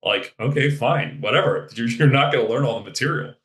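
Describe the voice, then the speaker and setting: A man speaking as a podcast guest, talking in an animated, emphatic way and swearing casually. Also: mid-range voice, a little fast. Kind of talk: casual interview talk. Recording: roomy echo.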